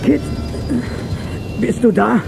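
An actor playing a stubborn, wounded crime fighter in a radio play, defiant, strained and sardonic. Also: male voice, extremely high pitch, slow, gravelly, exaggerated voice. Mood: urgent. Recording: cassette radio play with music.

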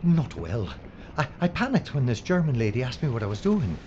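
Guy playing whiny nervous crew guy, pitch all over the place, muffled noisy audio drama. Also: very animated, fairly quick, dark tone, lightly acted. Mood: flustered. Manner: flustered, whiny, babbling. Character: anxious bumbling male film crew member, easily flustered.

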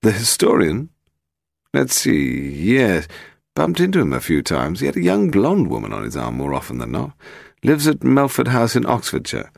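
A man voicing a suave, inquisitive gentleman detective in an audiobook, with a crisp, urbane, unruffled manner. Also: on the low side, huge pitch swings, moderate pace, no room sound, studio quality, pitched above the performer's own voice, full character voice. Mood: brisk, curious. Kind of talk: audiobook character voice.

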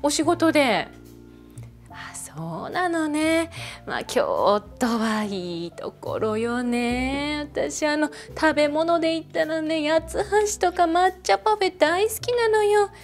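A gushing bar hostess chats with her customer in a high, sing-song voice, played by a woman. She talks in a soft, feminine way that rises and falls a great deal. Faint music plays behind her. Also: expressive, takes it slowly, full character voice. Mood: chatty. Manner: chatty, gushing, feminine sentence endings. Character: chatty middle-aged woman running a snack bar. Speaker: bar hostess in a role-play. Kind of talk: one-sided role-play with an unseen customer.

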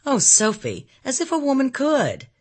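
A woman narrator acts out a vain society widow for an audiobook. She sounds wistful and proud, with a plaintive, theatrical edge. The pitch moves widely and the tone is slightly husky. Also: sing-song, normal speed. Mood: shocked. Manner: dramatic, plaintive. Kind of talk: audiobook character dialogue.

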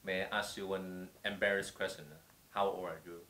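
A man's voice: low-pitched, flat delivery, normal speed, noisy background. A man interviewing, asking short questions with surprised reactions, on a lapel mic in a small office.

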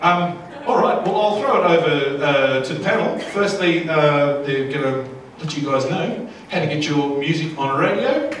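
A man's voice: fairly high pitch, lively intonation, normal speed, some chatter behind. An Australian man, around his thirties, introducing people to a live audience as moderator in a jokey, informal way. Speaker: panel moderator. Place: indoor event room with large windows. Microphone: handheld microphone through a PA. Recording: very echoey room, distant, clear background noise.

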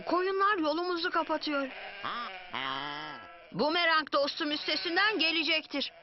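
A woman voicing an eager, excitable young boy in a dubbed children's cartoon. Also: very high voice, normal speed. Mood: excited. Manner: eager, exclamatory. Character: excited young boy character with a new boomerang toy. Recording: dull lo-fi audio with loud music.

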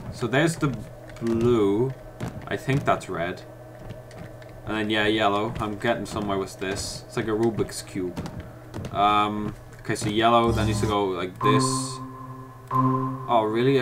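Man muttering through a puzzle game. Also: on the low side. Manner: chatty, talks to himself while puzzling; mock-frustrated outbursts at the game. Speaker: gaming YouTuber. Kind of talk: let's play commentary.

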